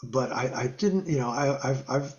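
An older man on a video call from his home music room, telling lively stories as an interview guest, acting out quotes and sound effects and laughing often. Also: mid-range voice, moderate pace, dull audio. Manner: animated storyteller, acts out quotes and guitar sounds, laughs often. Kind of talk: storytelling in a casual interview. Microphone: video call webcam.

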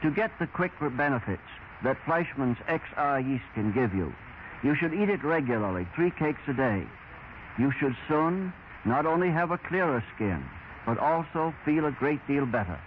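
A man's voice: a little high, big pitch swings, normal speed. A man speaking as a doctor, reading a scripted health advertisement in 1930s radio style. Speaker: doctor giving a sponsored health talk. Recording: noisy, dull 1930s radio broadcast.

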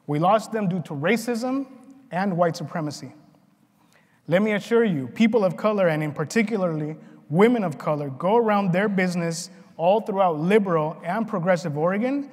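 A middle-aged man delivering a prepared conference keynote in an earnest, deliberate way with measured phrasing. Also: high-pitched. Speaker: keynote speaker. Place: large conference ballroom, on stage. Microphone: lapel mic at a podium. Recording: hall reverb from PA.

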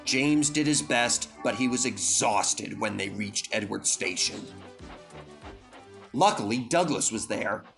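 A man's voice: lowish voice, lively intonation, slow, vocal fry, a bit bright, soft background music. A man reading a scripted children's story as the narrator.

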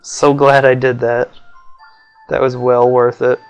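A man's voice: mid-range voice, level tone, takes it slowly, smooth. A young man giving casual, self-mocking commentary over a video game, with his voice feeling a little off. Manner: wry, self-mocking, mutters asides. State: voice feels off, maybe getting sick. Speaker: let's play commentator. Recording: faint game music behind.

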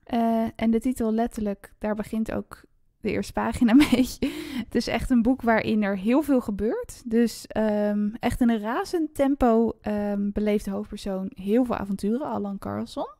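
A woman's voice: on the high side, normal speed, hardly any pauses, dark tone, dry recording, studio quality. A woman co-hosting a podcast in a casual discussion about books. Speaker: podcast co-host.

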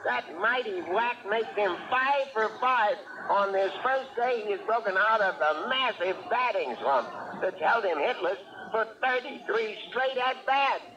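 Excited, very high-pitched baseball announcer. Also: male voice, a little slow, few pauses, crowd noise. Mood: thrilled. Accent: American. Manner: excited, florid. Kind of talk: sports commentary in radio drama. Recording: muffled, noisy old radio recording.

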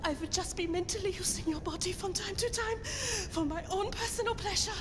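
High-voiced, emotional young woman. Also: brisk, long reverb tail, noisy background. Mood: tearfully defiant. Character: lovelorn, tearful but defiant young German woman. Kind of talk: video game cutscene acting.

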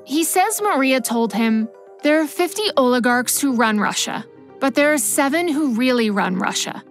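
A woman delivering scripted narration for a documentary podcast in a measured storytelling manner. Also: high-pitched, lively intonation, faint music behind.

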